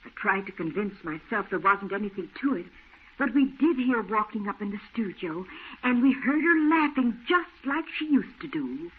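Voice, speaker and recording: An actress playing an earnest, deferential married housekeeper in an old radio drama. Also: female voice, mid-range voice, lively intonation, moderate pace, breathy edge. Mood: anxious, earnest. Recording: muffled, phone-like old radio recording, faint noise.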